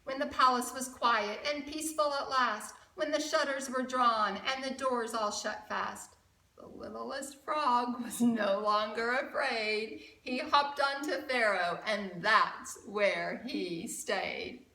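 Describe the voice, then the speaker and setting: A woman reading a picture book aloud to children in an expressive, engaging way. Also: a little high, slow pace. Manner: expressive, asks the young viewers questions. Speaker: children's storyteller at a church story time. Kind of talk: animated read-aloud for children. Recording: roomy, from a distant mic.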